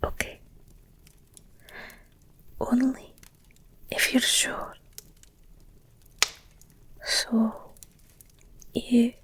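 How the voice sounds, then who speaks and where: A woman playing a devoted, guilt-ridden werewolf girlfriend in an intimate audio roleplay, speaking earnestly and haltingly in a breathy whisper. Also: on the high side, flat delivery, a bit bright, exaggerated voice. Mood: tentative, tender hope. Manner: hesitant, halting, earnest, tender.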